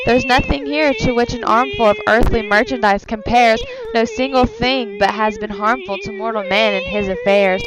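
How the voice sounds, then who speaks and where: A woman's voice: fairly high pitch, very animated, normal speed, few pauses, rough recording. A woman playing a wheedling witch who peddles deadly wares, declaiming verse like a seller in a stage drama. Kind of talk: theatrical amateur verse reading of a stage drama. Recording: clear crowd noise behind.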